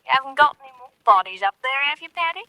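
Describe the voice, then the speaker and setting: A woman's voice: sits high, animated, rapid-fire, bright, forceful tone, no room sound, exaggerated voice. An actress playing a cheeky, bossy, nosy woman in a vintage radio drama, saucy and chatty.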